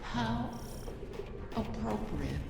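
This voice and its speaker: An ancient dragon spirit from a video game, grand and wistful as it faces its end. The delivery is very slow and theatrical, slipping into whispers. The voice is fairly high and gravelly, set in a long cavernous reverb. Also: male voice, animated, very noisy, full character voice. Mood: bitter, regretful. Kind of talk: scripted video game monologue. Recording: cavernous reverberant hall, music behind.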